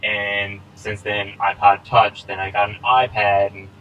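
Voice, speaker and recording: A relaxed young man in his twenties with a fairly low voice, chatting loosely with lots of ums and quick laughs. A faint hiss of noise sits under the home recording. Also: muffled recording. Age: 20s. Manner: relaxed, rambling with many fillers and laughs. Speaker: podcast co-host. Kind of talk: casual chat. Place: small home setup. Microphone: laptop recording.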